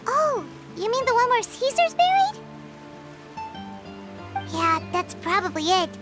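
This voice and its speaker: A woman voicing a tiny, excitable childlike fairy companion in a video game. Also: extremely high pitch, measured pace, over music, exaggerated voice. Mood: curious, chatty. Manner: speaks of herself in third person.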